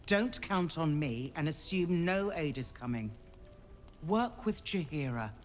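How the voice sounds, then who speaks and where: A man's voice: fairly high pitch, lively intonation, a little slow, big-room sound, soft background music. A man voicing an earnest military counsellor in a fantasy video game, formal and resolute. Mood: grave, resolute. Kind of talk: dramatic video game acting.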